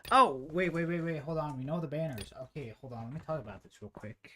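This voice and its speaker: A man live streaming a game with casual, rambling commentary, thinking out loud as he plays. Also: a little high, fast talker, a little hiss or hum.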